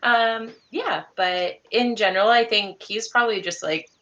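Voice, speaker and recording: A woman's voice: mid-range voice, a little slow, pushed and bright, dull audio. A woman casually improvising narration as a player in a tabletop game on a video call.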